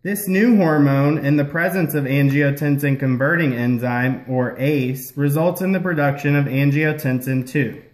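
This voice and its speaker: A man's voice: a little high, moderate pace, hardly any pauses, natural voice. Man playing instructive puppet clinician, echoey room. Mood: explanatory. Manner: explanatory, instructional. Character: patient, instructive male health-care puppet explaining medication. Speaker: health educator explaining a prescription. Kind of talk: scripted educational puppet-show dialogue. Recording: roomy echo.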